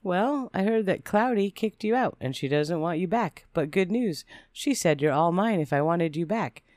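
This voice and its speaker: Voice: medium pitch, animated, moderate pace, a little mellow, no echo, clean studio sound, lightly acted. A narrator voicing an exasperated, sarcastic ex-wife holding firm, testy in manner, in a personal story.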